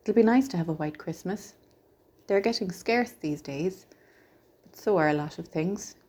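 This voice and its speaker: A woman's voice: fairly low pitch, normal speed, warm tone, breathy edge. A woman voicing a kindly, mysterious little male peddler in a storybook, patient, coaxing and cheerfully reassuring. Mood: gentle, knowing.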